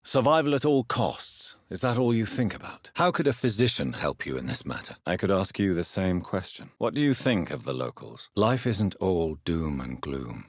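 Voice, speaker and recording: A man playing a grave, courteous vampire physician in a video game, with a British accent and a formal, measured, theatrical delivery. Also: deep voice, big pitch swings, dry recording, clean studio sound. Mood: courteous, composed. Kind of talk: dramatic video game voice acting.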